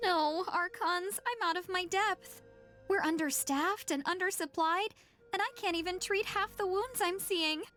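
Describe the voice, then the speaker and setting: Game healer: anxious young girl, extremely high voice, self-doubting, music underneath. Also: unhurried, pushed and bright, exaggerated voice. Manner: fretful, earnest. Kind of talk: video game voice acting.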